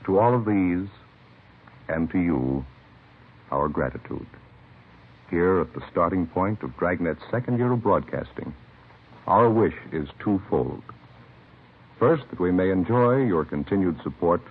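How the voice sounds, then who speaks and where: A husky American man announcing in a formal, scripted 1950s radio style, solemn and measured. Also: sits low, expressive. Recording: narrow-band vintage radio broadcast.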